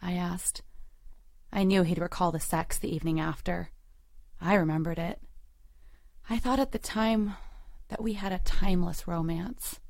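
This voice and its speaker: A woman's voice: fairly low pitch, normal speed, creaky, long pauses, a little mellow, no echo, clean studio sound. A woman playing a hurt, resolute girlfriend in an audio drama, with an intimate, controlled and emotionally weighted delivery. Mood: subdued, wistful narration. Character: hurt, resolute girlfriend leaving her long-term boyfriend. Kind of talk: audio drama acting with first-person narration.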